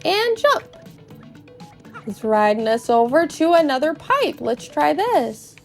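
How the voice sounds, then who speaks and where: A woman narrating a video game playthrough in a cutesy, expressive storyteller manner, with playful sound words. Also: high voice, takes it slowly, gravelly. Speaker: podcast host doing a dramatic read-along of a video game. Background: music.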